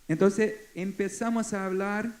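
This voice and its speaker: A man in his forties from Brazil with a Brazilian Portuguese accent, Portuguese his first language, speaking haltingly as a forum panelist. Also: high-pitched, fairly even intonation, a little mellow. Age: 40s. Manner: halting, searching for words. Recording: echoey conference hall.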